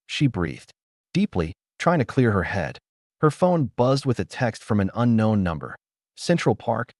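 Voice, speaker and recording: A man reading a scripted story aloud in a measured, emotionally present way. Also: on the low side, darkish tone, no echo, studio quality. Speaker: narrator.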